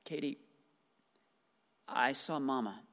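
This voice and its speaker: A mild, uneasy young husband in a radio play. The delivery is conversational. It carries in a long hall reverb. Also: male voice, medium pitch, takes it slowly, a bit bright. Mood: uneasy, rueful.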